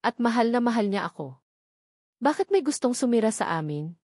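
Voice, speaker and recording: A woman's voice: fairly high pitch, sing-song, brisk, darkish tone, dry recording, studio quality. A woman voicing a grieving, calculating man in an audio story, earnest and pleading as he feigns surrender. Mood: pained pleading.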